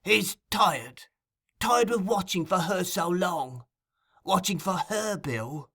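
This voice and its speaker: A man voicing a cunning, raging old male crime boss in an audiobook, insistent and feverish, building to shouts. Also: very high-pitched, normal speed, gravelly, slightly rough, voice pushed up, heavily acted. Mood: sinister. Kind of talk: audiobook character acting.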